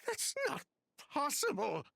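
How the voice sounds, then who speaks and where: A distraught bereaved brother in a video game. The voice is gravelly and slow, swinging widely in pitch. It is fragile and halting, with broken repetitions. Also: huge pitch swings, pushed and bright, exaggerated voice. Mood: shocked, pleading. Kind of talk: game voice acting.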